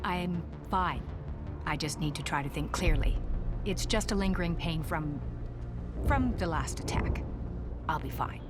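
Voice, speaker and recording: A woman playing an injured colonist in a game, halting and strained as she struggles through pain. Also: low voice, animated. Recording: loud background music.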